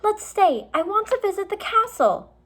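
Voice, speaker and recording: A headstrong, eager young girl from a children's storybook, played by a woman reading aloud. The pitch sits well above everyday speech, with an excited, insistent delivery. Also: moderate pace, full character voice.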